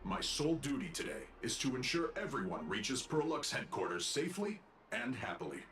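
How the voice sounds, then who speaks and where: An actor voicing a polite robot security guard and escort in a video game, speaking in formal, measured phrases. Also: male voice, medium pitch, very animated, lightly acted. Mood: formal welcome. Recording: echoey voice over music.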